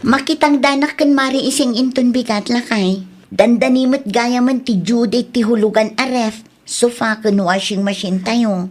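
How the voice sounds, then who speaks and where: A woman's voice: a little high, fairly even intonation, unhurried, faint music behind. A woman with an Ilocano accent playing a patient, reassuring mother in an audio drama. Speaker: mother and wife.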